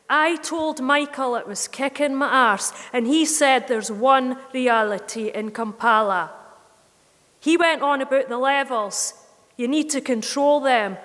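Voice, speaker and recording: A woman's voice: high-pitched, fairly bright tone, in a large hall. A woman with a Scottish accent giving a dramatic first-person spoken-word performance, telling it intensely with Scots slang.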